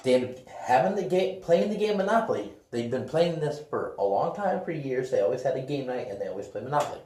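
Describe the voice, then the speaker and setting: A man co-hosting a casual talk show, speaking informally and emphatically, pausing mid-sentence. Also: mid-range voice, animated, darkish tone. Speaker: co-host of a video talk show. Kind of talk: casual chat, pitching a movie idea. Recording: roomy sound, faint noise.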